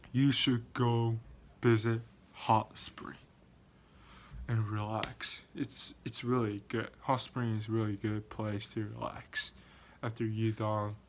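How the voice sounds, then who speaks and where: A man's voice: mid-range voice, a bit bright. A young man raised in Japan, a non-native English speaker, giving a practice informative speech to his class with many restarts and fillers. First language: Korean or Japanese. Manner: halting, frequent restarts and fillers. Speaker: student.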